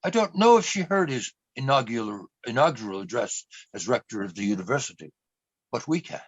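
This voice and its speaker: An earnest storyteller narrates a radio drama, voiced by a man. His medium-pitched voice is grave, captured very dry and a little dull. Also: very animated, fairly quick, slightly rough, no room sound. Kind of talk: scripted radio play narration.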